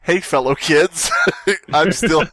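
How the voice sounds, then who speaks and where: A man's voice: a little high, takes it slowly, a bit bright, no room sound. A man co-hosting a podcast, chatting casually in a rambling, crude way and laughing often. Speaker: podcast co-host. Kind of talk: casual banter.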